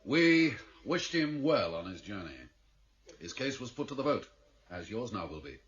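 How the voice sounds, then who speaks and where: An actor in a radio drama playing a grave, commanding male leader of an underworld council, speaking formally and with measured authority. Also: medium pitch, big pitch swings, a bit bright, a little hiss or hum. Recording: dull, band-limited radio drama recording.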